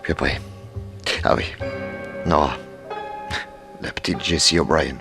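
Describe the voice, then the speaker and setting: An actor playing a brisk, commanding male private detective in a 1960s French radio crime serial. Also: a bass voice, fairly even intonation, gravelly, over music, exaggerated voice. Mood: dazed, in pain. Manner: urgent, clipped questioning, reassuring orders.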